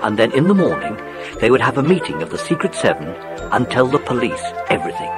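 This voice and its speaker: A man's voice: medium pitch, sing-song, fast talker, loud music behind the voice. A man narrating a scripted children's story as the narrator of an audiobook play.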